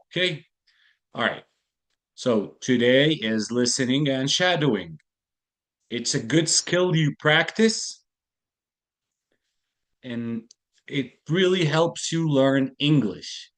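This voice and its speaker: A man teaching an online English class, patiently repeating key phrases and explaining idioms, speaking into a computer mic. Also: mid-range voice. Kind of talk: online lesson, explaining slowly. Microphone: computer mic on a video call, camera off.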